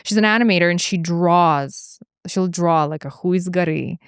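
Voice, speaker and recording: Woman podcast host, crisp dry studio sound. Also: on the low side, no room sound. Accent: Eastern European. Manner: animated, laughs often, quick bursts. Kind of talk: casual conversation.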